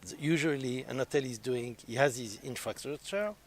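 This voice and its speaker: Halting man answering slowly. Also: medium pitch. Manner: halting, many uh fillers, formal. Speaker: hotel manager guest. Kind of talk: interview answers. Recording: faint background noise.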